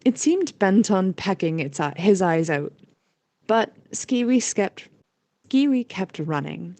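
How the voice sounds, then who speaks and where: A woman's voice: medium pitch, very animated, slow pace, mellow, dry recording. A woman reading scripted story narration as the narrator of an amateur audio drama.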